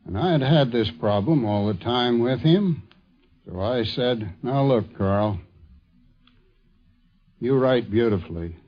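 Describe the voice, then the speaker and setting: Slow-paced man reminiscing, dull vintage recording. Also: medium pitch, animated. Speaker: former colleague giving a reminiscence. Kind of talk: anecdotal spoken reminiscence.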